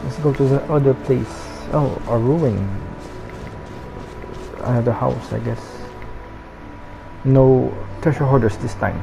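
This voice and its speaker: A woman's voice: a bass voice, steady tone, unhurried, gravelly, pauses often, rough recording. A woman giving casual, hesitant, muttered commentary as she plays a game. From Philippines. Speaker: gameplay commentator. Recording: game music behind the voice. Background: game music.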